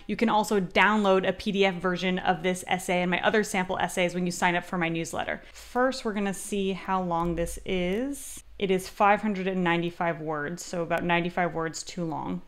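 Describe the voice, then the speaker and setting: A woman's voice: fairly low pitch, steady tone, moderate pace, hardly any pauses. A woman in her thirties presenting as a writing coach, reading text aloud and explaining edits in an instructional style.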